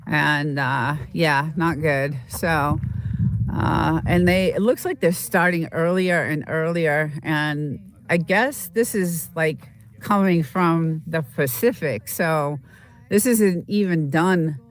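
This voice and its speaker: A woman hosts a casual live stream outdoors in the desert, a little sniffly from a cold. Her voice sits fairly low, and she talks slowly, drifting through fillers and restarts as she greets her viewers. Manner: halting, rambling, full of fillers and restarts. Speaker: livestream host.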